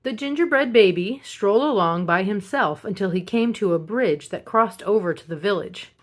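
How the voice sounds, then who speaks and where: A woman reading a picture book aloud as a storyteller, in an expressive children's narration style. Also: mid-range voice, normal speed. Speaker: storybook reader.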